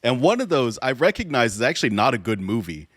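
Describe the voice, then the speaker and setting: A man's voice: medium pitch, big pitch swings, fast talker, a bit bright, dry recording. A man hosting a podcast, giving blunt, sweary opinions in casual chat. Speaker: podcast host.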